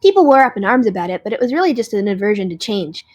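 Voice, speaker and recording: A woman's voice: medium pitch, sing-song, racing, a little mellow. A woman playing a cheerful, unbothered, nerdy dragon in an audio drama, chatty and matter-of-fact.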